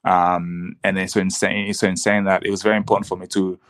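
A man with a low voice, recorded close and dry, speaks as an interview guest. A native Swahili speaker, he tells his own story reflectively, pausing and repeating himself as he goes. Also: little pitch movement, a bit bright, dry recording. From the Congo, raised in Zimbabwean refugee camps and Australia. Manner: halting, with frequent repeats and "you know".

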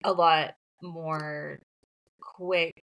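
Lower-pitched woman, conversational. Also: level tone, drawn-out words, a bit bright, rough recording. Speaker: book podcast co-host. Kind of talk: casual book discussion.

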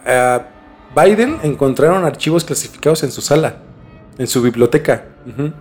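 A man's voice: mid-range voice, expressive, moderate pace, a little mellow. A man hosting a podcast, telling a story conversationally to a co-host and repeating phrases for emphasis. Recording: music bed under the voice.

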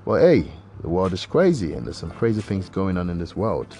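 A man hosting his own solo podcast, rambling and hesitant, full of uhs and restarts, swinging between animated outbursts and low mutters. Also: moderate pace. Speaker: podcast host. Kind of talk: casual solo podcast monologue.

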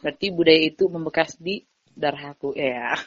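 A woman's voice: low voice, fairly quick, dry recording, dull audio. A young woman, a podcast guest, chatting casually, telling stories animatedly and giggling often. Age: young adult. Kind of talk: casual chat.

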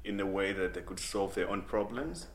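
A young man from Malawi hosting a podcast, chatting in a relaxed way and often agreeing with his guest. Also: low voice, moderate pace. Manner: relaxed, chatty, with frequent affirmations. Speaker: podcast host. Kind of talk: casual interview conversation.

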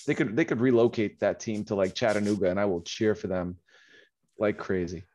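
A man's voice: lowish voice, a little fast, mellow. A man from Poland, a guest on a casual sports podcast, who often stumbles and repeats words as he starts sentences, heard with a muffled sound.

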